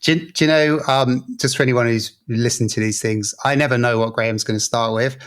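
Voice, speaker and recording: A man's voice: mid-range voice, steady tone, brisk. Playful male co-host. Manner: playful, teasing. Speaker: podcast co-host. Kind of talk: casual podcast chat.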